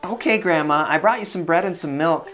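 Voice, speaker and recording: A storyteller putting on a high-voiced, trusting little girl. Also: a little fast, fairly bright tone, soft background music, close to an everyday voice. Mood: trusting, cheerful. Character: little girl, trusting, innocent, obedient granddaughter.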